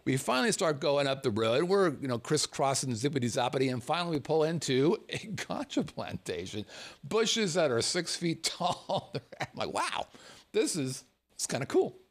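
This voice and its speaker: A man from the United States, a show host, telling a personal anecdote in a casual, animated way and chuckling as he goes. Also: a little high, moderate pace. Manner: animated storyteller, chuckles through his words.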